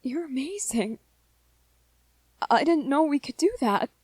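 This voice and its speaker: A woman plays a gentle young male vampire in a romantic ASMR roleplay, pitched on the high side for the performer. He speaks slowly and hesitantly, tripping shyly over his words. The sound is dry and close, as if meant for one adored listener. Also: lively intonation, dry recording. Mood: excited. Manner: hesitant, soft-hearted, stammering with repeated words.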